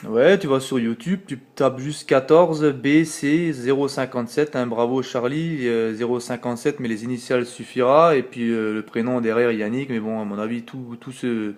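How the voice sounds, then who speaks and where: A man's voice: medium pitch, normal speed, hardly any pauses, darkish tone. A man with a French accent on a late-night CB radio contact, rambling casually with many fillers, talking into his radio mic at home. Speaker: CB radio operator. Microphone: radio operator's microphone, filmed on phone.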